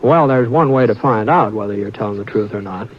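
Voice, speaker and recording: An actor playing a calm, steady frontier rancher who narrates, speaking in a soothing drawl in a 1950s radio drama. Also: male voice, on the low side, a little fast, lightly acted. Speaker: narrator and lead. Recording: dull old radio broadcast.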